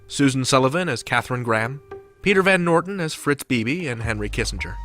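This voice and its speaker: A man hosting a drama podcast, reading a smooth, scripted narration and outro. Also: medium pitch. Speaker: podcast host. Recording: music under the voice.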